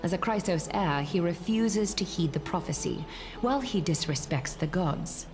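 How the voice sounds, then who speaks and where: A woman playing a stern, regal female leader in a fantasy game, formal, measured and resolute. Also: on the low side, animated, close to an everyday voice. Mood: measured disapproval. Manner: formal, solemn, deliberate. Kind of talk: dramatic fantasy game voice acting. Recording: game music behind voice.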